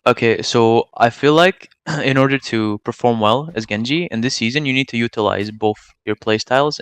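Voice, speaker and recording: A man's voice: medium pitch, moderate pace, smooth, dry recording. A nineteen-year-old man from Serbia, chatting casually as an interviewed gamer, slangy and opinionated as he explains at length. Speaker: gamer and streamer being interviewed.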